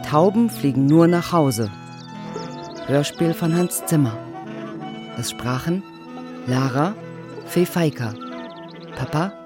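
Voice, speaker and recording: A man reading the scripted credits of a radio play as its announcer. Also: a little high.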